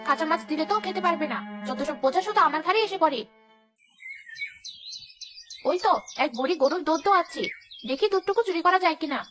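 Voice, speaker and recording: A woman voicing a young female village ghost in a cartoon, gruff but motherly and protective, scolding and muttering to herself. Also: very high voice, rapid-fire, music in the background. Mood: fretful, muttering. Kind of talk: Bengali cartoon voice acting.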